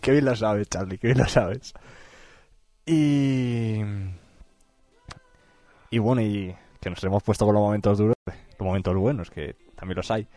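A mellow-voiced man hosts a relaxed radio interview. He backs up his guest with short agreements. Soft music plays faintly behind. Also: on the low side, unhurried, long pauses, no room sound. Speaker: radio host. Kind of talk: casual interview chat.

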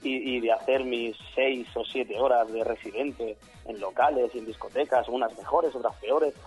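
A husky, slightly hoarse man talks loosely as an interview guest. He rambles and puts on other people's voices in quotes. Faint music runs under the radio studio sound. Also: mid-range voice, steady tone, moderate pace, darkish tone. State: hoarse after a weekend of shows. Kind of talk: casual interview chat.

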